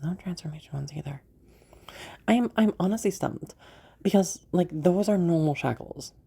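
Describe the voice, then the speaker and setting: A woman performs a magical woman rescuer in a fantasy roleplay, teasing and full of amusement. Her voice is low and mellow with a husky grain, and she talks playfully with hesitant pauses. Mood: curious, puzzled. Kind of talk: roleplay audio drama.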